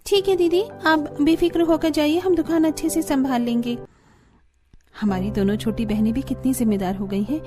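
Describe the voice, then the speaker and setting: High-pitched, expressive cartoon village woman, acted out. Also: normal speed, music in the background, close to an everyday voice. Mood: cheerful, caring. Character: village women, spirited young and scolding daughters-in-law and mothers-in-law. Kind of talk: Hindi cartoon story acting.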